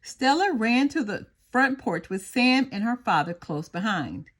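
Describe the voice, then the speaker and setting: Female children's storybook narrator, expressive, higher-pitched, with wide swings in intonation. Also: measured pace. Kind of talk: expressive read-aloud narration.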